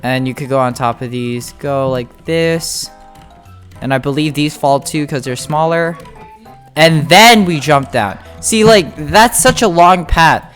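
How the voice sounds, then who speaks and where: Gamer doing a casual tutorial, mid pitched voice, kinda halting and thinking out loud, music playing behind. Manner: informal, halting, thinking aloud. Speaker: game guide video host. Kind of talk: casual gameplay tutorial commentary.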